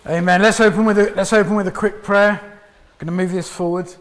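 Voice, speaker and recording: A man preaching a sermon with a loud, booming voice, pacing about and sometimes dropping to a soft prayerful tone. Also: steady tone, fairly quick. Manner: drops to soft hushed prayer voice.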